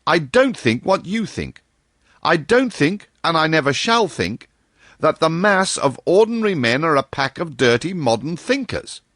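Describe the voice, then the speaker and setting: A man voicing a jovial, boisterous young male doctor, loud and exclamatory. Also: a little high, sing-song, measured pace, no echo, clean studio sound, voice pushed up, heavily acted. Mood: emphatic.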